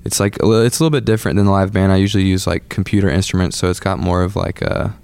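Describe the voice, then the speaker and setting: A man's voice: deep voice, flat delivery, very fast, gravelly, a little mellow, clear, resonant tone, dry recording. A man in his twenties from Atlanta, a musician guest chatting loosely with lots of filler words. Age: 20s. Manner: laid-back, lots of "like" and "um". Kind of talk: casual interview chat.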